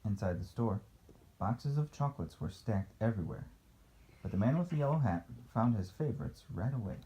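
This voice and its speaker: A man's voice: on the low side, lively intonation, brisk, warm tone. A man reading a picture book aloud as a father to a child, playful, with casual asides and questions.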